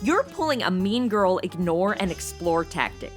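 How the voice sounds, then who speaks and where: A woman's voice: on the low side, big pitch swings, normal speed, soft background music. A woman playing a brash, sarcastic young temp posing as a showgirl, talking in blunt modern slang in a noir comedy audio drama. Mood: indignant.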